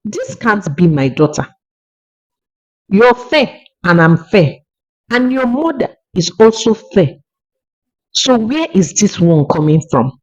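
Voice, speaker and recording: A woman's voice: mid-range voice, big pitch swings, slow pace, pauses often, voice pushed up. A woman with a Nigerian accent voicing a proud, aggrieved young husband in a folktale, reproachful and insistent. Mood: shocked, rejecting. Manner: reproachful, insistent, repeating questions. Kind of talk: dramatic folktale storytelling. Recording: dull, lo-fi voice recording.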